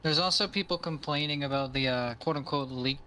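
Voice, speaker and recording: A man's voice: fairly high pitch, a little fast, sharp tone, slight background noise. A man chatting casually with friends on a stream, with some music and keyboard typing behind him. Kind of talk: casual chat.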